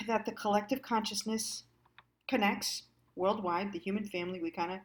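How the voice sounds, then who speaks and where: A woman speaks in a casual, reflective monologue at a middling pitch. She muses conversationally, often halting and letting thoughts trail before picking them up again, as the host of a storytelling series.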